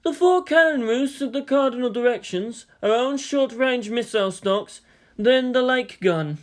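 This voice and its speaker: A courteous military strategist from an audio story, acted out by a man, measured and self-effacing. His pitch sits raised above plain narration, and he puts his requests with understated politeness. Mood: calm, explanatory.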